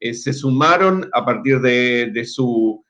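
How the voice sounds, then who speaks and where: A man's voice: mid-range voice, animated, slow, fairly bright tone. A man speaking as the director of a climate network, giving an informal presentation, hesitant and repeating words as he restarts.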